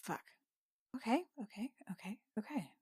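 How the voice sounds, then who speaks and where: A woman's voice: fairly high pitch, expressive, a little mellow, slightly rough, no echo, studio quality. Woman playing anxious, earnest female healer. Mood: shaken, anxious. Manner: halting, insistent, anxious.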